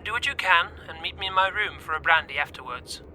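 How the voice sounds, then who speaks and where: Male narrator doing chummy old-boy colleague, high pitch, very sharp forceful tone, brisk, persuasive, radio play. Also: animated, slight background noise, rough recording. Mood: brisk, cajoling. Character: brisk, chummy upper-class gentleman colleague. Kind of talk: audio drama letter reading in character.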